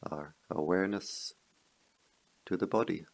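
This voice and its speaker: A man guiding a meditation as a teacher, speaking calmly in measured short phrases with long pauses. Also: deep voice, vocal fry. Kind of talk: guided meditation.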